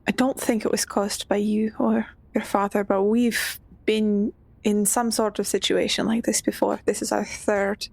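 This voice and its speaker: A calm, practical female adventurer from a fantasy tabletop game, played by a woman. Her voice is mid-pitched with some fry, and the recording is dry. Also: unhurried, dry recording. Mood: calm, determined.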